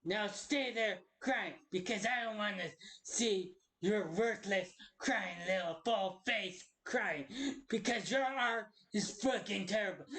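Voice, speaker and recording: A woman's voice: medium pitch, fairly bright tone, voice pushed up. A woman voicing a cruel, scornful unicorn parent who berates a child with harsh contempt in an amateur cartoon style. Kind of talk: amateur cartoon villain voice acting.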